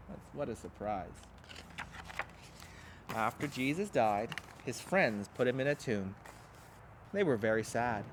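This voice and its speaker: Guy reading a picture book to little kids, super animated and dramatic, some background noise behind him. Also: mid-range voice. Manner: dramatic, simple emphatic phrasing for small children. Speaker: pastor reading a children's storybook. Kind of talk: expressive storybook read-aloud with asides to young listeners.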